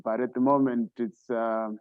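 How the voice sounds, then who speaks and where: A man's voice: medium pitch, flat delivery, normal speed, clear, resonant tone, no echo. Man on dull, dry call audio. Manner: hesitant with fillers. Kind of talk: casual video call talk. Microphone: video call.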